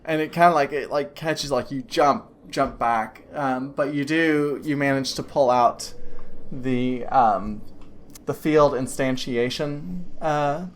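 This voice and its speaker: A woman's voice: very deep voice, slow. A woman narrating as game master of a tabletop game, describing scenes in a rambling way full of restarts and fillers.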